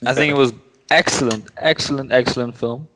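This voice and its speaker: A man's voice: medium pitch, fairly even intonation, brisk, background noise. Reverberant man giving casual opinions. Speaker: podcast co-host. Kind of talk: casual movie review chat. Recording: long hall-like reverb.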